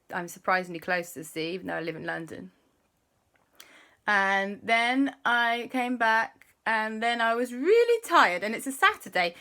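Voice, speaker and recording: Easygoing rambling man, played by a woman reading aloud, bright and high. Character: man named Andy, easygoing and chatty.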